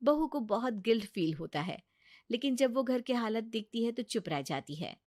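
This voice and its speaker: Woman, story narrator, scripted delivery, high voice, dry studio-like sound. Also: lively intonation, moderate pace, darkish tone, dry recording.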